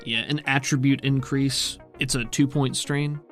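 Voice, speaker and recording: A man at a middling pitch runs a tabletop game, explaining the rules in a loose, thinking-aloud way. He swears casually. Faint music sits under his voice. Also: level tone, unhurried, fairly bright tone. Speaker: game master.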